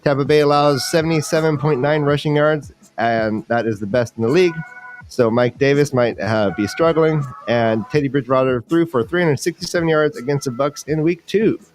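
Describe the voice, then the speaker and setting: A man's voice: fairly high pitch, a little fast, soft background music. A man hosting a fantasy football livestream with casual, jokey banter and game previews, rambling and repeating phrases for emphasis.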